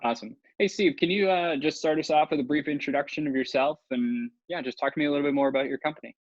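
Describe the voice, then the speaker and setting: A man's voice: a little high, quick pace, no echo. Man, interview host, upbeat, dry room, muffled lo-fi audio. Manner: upbeat, brief encouragements. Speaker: interview host, account manager. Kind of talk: friendly interview hosting.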